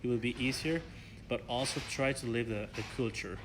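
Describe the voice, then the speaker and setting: Mexican-accented young man, halting speech. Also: medium pitch, background noise. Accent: Mexican Spanish. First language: Spanish. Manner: halting, many fillers and restarts. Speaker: interview guest, ESL learner. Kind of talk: casual interview answers.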